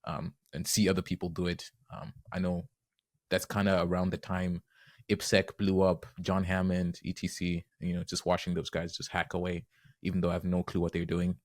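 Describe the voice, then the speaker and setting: A young man from Zimbabwe speaks as a podcast guest, easygoing and earnest, leaning on casual fillers. His voice is very low. The recording is dry and close, with no room sound. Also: monotone, normal speed, no room sound. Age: young adult. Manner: easygoing, earnest, many "you know" fillers. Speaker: guest, penetration tester.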